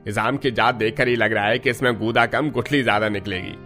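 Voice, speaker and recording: A man's voice: medium pitch, fairly even intonation, brisk, bright, forceful tone, faint music behind, lightly acted. A man playing an arrogant, stingy fruit trader in a cartoon story, haggling in a haughty, dismissive way. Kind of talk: Hindi cartoon story dialogue.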